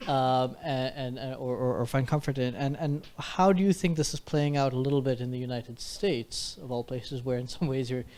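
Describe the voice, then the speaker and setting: A man moderating the question session after a lecture. He asks his question in a measured way, pausing on fillers and restarting phrases. His voice sits at an ordinary middle pitch. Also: darkish tone.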